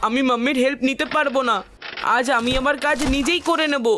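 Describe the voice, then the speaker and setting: A woman's voice: sits high, fast talker. A woman voicing a cheeky, hungry little boy in a cartoon dub, cocky and argumentative. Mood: determined. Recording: loud music behind the voice. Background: loud cartoon music.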